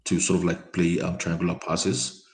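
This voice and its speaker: A man giving his views in a casual football chat over a call. He hesitates often, repeating words and filling pauses with um. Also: low voice, completely flat delivery. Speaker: panel guest. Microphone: remote call mic, quiet.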